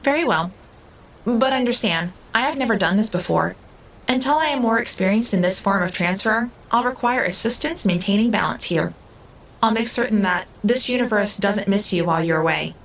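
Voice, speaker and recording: A woman's voice: medium pitch, moderate pace, slight background noise, lightly acted, rough recording. A woman playing a young otherworldly being who speaks earnestly in formal, stilted, precise sentences in an audio drama. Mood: literal, instructive. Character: young otherworldly female being, earnest, literal, curious, restless.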